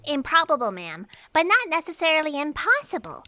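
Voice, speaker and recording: A woman's voice: high voice, huge pitch swings, a little fast, sharp tone, faint music behind, exaggerated voice. A woman voicing a fussy, bookish female assistant who reads carefully from her notes. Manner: precise, bookish, muttering. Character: fussy female records assistant named Fran.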